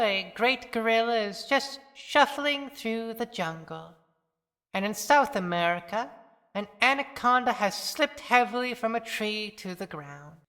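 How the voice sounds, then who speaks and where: A solemn dead townsperson played by a woman speaks poetically from the grave. Her voice is bright and intense, very slow, and carried on a long cavernous reverb. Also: fairly high pitch. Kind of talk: theatrical poetic monologue.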